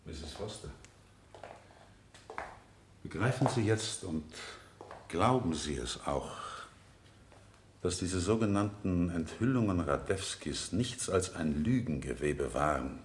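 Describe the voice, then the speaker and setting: An actor playing an insistent defense lawyer in a radio play, questioning witnesses in a deliberate, rhetorical way. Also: male voice, sits low, animated, a little hiss or hum. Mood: pressing, insistent. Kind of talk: 1970 German radio play acting.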